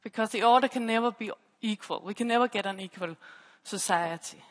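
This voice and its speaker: A woman's voice: medium pitch, brisk. A woman giving an academic conference talk on a headset mic, explaining and restating her phrases. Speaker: PhD researcher presenting at a conference. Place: stage in a large dark auditorium. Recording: echoey hall with long reverb.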